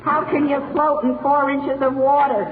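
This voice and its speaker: A youthful, whiny American teenage boy, gullible and easily exasperated. His voice sits high, in vintage radio comedy style, over a tinny line with faint noise. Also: little pitch movement, moderate pace. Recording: tinny, faintly noisy old radio recording.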